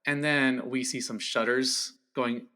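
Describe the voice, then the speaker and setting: A man with a bright, medium-pitched voice talks as a guest critic in a casual film chat. His delivery is halting, with words repeated as he works toward his point. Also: little pitch movement.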